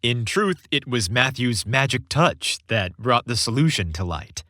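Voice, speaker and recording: Guy as upbeat playful young dude, super enthusiastic, animated pitch, audiobook character. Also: measured pace, dry recording, studio quality. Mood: playful, warm. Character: cheerful, playful, excitable young man, Santa's son.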